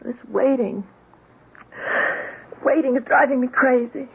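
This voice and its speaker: An actress in a radio drama playing a worried, devoted wife with a fretful, hesitant manner. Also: female voice, sits high, a little slow, slightly rough, phone-quality sound, full character voice. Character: anxious, devoted wife of a police sergeant. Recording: thin band-limited radio drama recording, faint noise.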